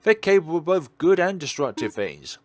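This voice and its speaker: A man's voice: a little high, very animated, moderate pace, a little mellow, voice pushed up. A man reading visual novel dialogue as a melancholy, world-weary God, in a wistful, dramatic way. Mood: bitter, emphatic.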